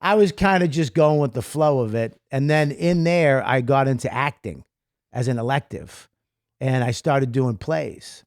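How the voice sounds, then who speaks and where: A man's voice: mid-range voice, lively intonation, unhurried, no room sound, studio quality. A man from Boston with a Boston accent, a comedian guest telling his life story in a rambling, self-deprecating way. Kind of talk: interview storytelling.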